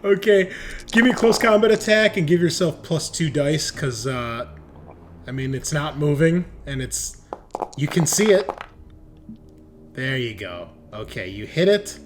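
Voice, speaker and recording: A man's voice: a little high, sing-song, a little slow, background noise. A man running a tabletop roleplaying game as game master, talking casually and jokily.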